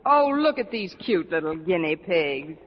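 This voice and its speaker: Wisecracking schoolteacher, muffled vintage radio. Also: female voice, fairly low pitch, big pitch swings, unhurried. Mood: playful, wry. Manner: dry, sardonic wisecracks. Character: witty, wisecracking adult female high-school English teacher. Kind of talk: 1940s-50s radio sitcom acting. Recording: noisy muffled vintage radio, studio audience laughter.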